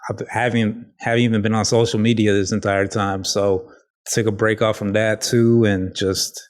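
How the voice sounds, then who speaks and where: A man hosting a casual solo podcast, talking in a halting, rambling, informal way with a slight lisp. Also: lowish voice, flat delivery. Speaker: podcast host.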